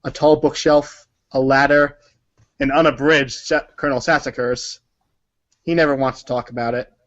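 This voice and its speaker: A man's voice: mid-range voice, fairly even intonation, moderate pace, fairly bright tone, lo-fi sound. A man narrating a story aloud in a theatrical, dramatic and emphatic manner. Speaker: narrator of a group reading.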